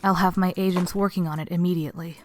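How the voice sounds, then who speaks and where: A woman's voice: lowish voice, steady tone, fast talker, natural voice. A woman playing a doubtful, frustrated lieutenant in a fan-made anime audio drama, speaking respectfully but hesitantly. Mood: dutiful. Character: frustrated, doubtful female lieutenant reporting to her commander.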